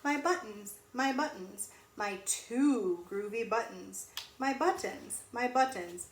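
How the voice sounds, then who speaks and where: A woman teacher reading a storybook aloud to young children in an animated, sing-song way, pausing to ask them questions. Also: a little high. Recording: echoey room with faint noise.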